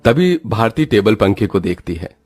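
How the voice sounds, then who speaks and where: A man giving scripted narration as the storyteller of an animated moral tale. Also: lowish voice, normal speed, slightly rough, soft background music.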